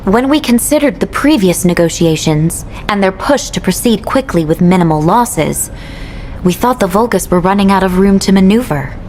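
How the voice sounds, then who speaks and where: A mission operator, voiced by a woman who briefs in a measured, businesslike way. Her pitch sits mid-range. Clear background noise runs under the game audio. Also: animated, a little mellow, lightly acted. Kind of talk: video game cutscene dialogue.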